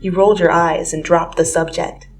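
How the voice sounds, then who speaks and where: A woman's voice: on the low side, fairly even intonation, moderate pace, a little mellow. A woman giving a dramatic reading of fan fiction as an amateur narrator, with music behind her. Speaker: amateur story reader.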